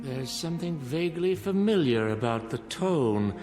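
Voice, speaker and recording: An actor playing a mild, courteous and thoughtful reverend, formal and gentle, in a radio drama. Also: male voice, a little high, expressive, normal speed, over music, lightly acted. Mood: pensive.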